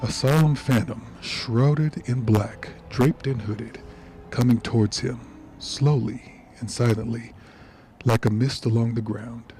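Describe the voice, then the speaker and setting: A man playing the solemn narrator of a radio play, telling the story in measured phrases. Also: mid-range voice, fairly even intonation, warm tone, lightly acted. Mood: ominous. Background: music.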